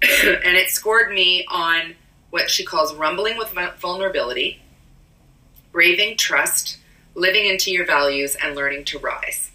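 A hoarse woman with a cold co-hosts a casual podcast, explaining at length with plenty of ums. Her low voice is very bright and edgy. She sits a little back from the mic in an echoey room. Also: a little slow, distant mic. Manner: rambling, explanatory, frequent ums. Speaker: podcast co-host. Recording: roomy echo, a bit off-mic.

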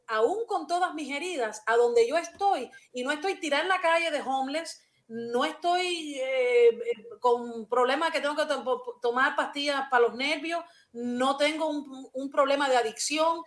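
A woman hosting a talk show, speaking earnestly and personally, often restarting her sentences. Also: high voice. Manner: earnest, hesitant, with frequent restarts.

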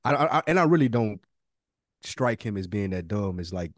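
A man's voice: on the low side, lively intonation, moderate pace, dry recording, clean studio sound. A man co-hosting a podcast, bantering in an informal, slangy way as he thinks aloud. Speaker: podcast co-host. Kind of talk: casual banter about a TV series fantasy draft.

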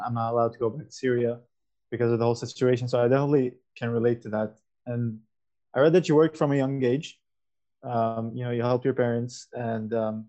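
Young male co-host, mellow tone, casual filler-heavy speech, lo-fi mic. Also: mid-range voice. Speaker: podcast co-host. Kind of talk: interview questions.

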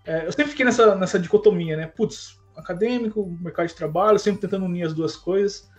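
A man chatting casually as a podcast guest, relaxed and slangy, often tagging his sentences with filler words. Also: high voice, a little fast. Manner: relaxed, slangy, fills with "né, cara". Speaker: podcast guest, tech lead. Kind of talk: casual chat. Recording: dull lo-fi sound with faint music.

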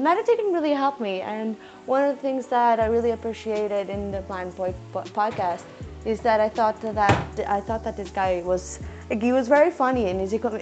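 A young woman with a self-described squeaky voice chatting casually in her home kitchen while cooking, rambling with fillers and restarts and trying to talk slowly. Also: darkish tone. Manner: deliberately talking slowly. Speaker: cooking vlogger.

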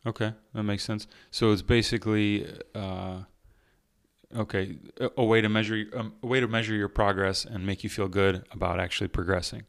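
A man hosting an interview podcast, introducing a guest and asking relaxed, conversational questions. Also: on the low side, normal speed, creaky, no echo, clean studio sound. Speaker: podcast host.

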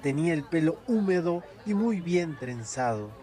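A man's voice: fairly high pitch, big pitch swings, moderate pace, warm tone, some chatter behind. A man narrating a folk tale as a storyteller.

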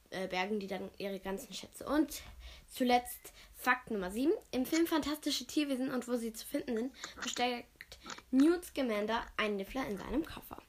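Cheerful woman podcast host with a slightly high voice, list-style fact reading. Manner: cheerful, reads facts in a list. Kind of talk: casual podcast chat.

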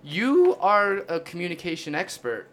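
A man's voice: high-pitched, huge pitch swings, moderate pace, fairly bright tone. A young man hosting a casual podcast conversation, loose and chatty with easy laughs. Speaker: podcast host.

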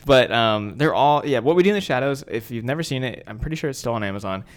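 A man's voice: medium pitch, animated, quick pace. A young man who is a college student co-hosting a podcast, laid-back, with short quips and trailing off. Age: young adult. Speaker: podcast co-host. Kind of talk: casual chat.

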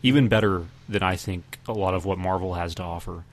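Low-pitched guy on a podcast, casual movie talk, kinda feeling out his words. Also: steady tone, brisk. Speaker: podcast co-host. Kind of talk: casual film discussion.

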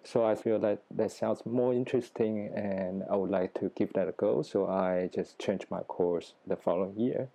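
Casting note: Taiwanese-accented male researcher, forties, low mellow voice, thoughtful and plain. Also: moderate pace, gravelly, hardly any pauses. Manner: thoughtful, plain-spoken, with small grammar slips. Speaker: guest researcher. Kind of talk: interview answers.

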